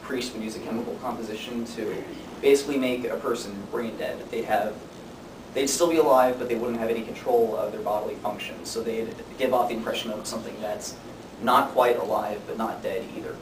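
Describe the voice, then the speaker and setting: Young guy doing a class presentation, kinda deadpan, far from the mic w/ clear background rumble. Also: a little fast. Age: young adult. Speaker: student speaker. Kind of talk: informative class speech. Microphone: distant camera microphone. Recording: distant mic, echoey room, noisy rumble.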